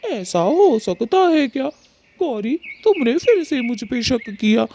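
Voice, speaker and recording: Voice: medium pitch, big pitch swings, takes it slowly, mellow, slight background noise. A performer voicing a male cartoon bird with a theatrical, complaining and pleading way of talking. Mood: wounded, pleading. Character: dramatic male cartoon bird, husband or neighbour, plaintive. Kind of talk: Hindi children's cartoon story dialogue.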